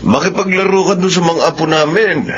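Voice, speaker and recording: A man's voice: high-pitched, a little fast, lo-fi sound, slight background noise, natural voice. An actor playing a friendly, teasing older grandfather chatting familiarly in a radio drama.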